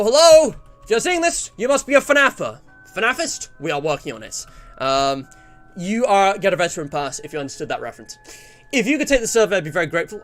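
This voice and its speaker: English male streamer, around twenty, slightly high-pitched, casual and discursive, faint music underneath. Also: a bit bright. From England. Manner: rambling, self-interrupting. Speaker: livestreamer. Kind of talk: casual livestream chat, reading out survey and viewer messages.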